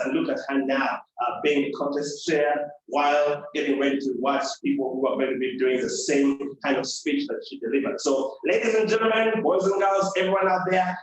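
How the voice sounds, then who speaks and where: A man hosts a live event as master of ceremonies, playfully addressing the audience like a showman. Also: fairly high pitch. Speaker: master of ceremonies at a speech contest. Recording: very echoey room, distant microphone.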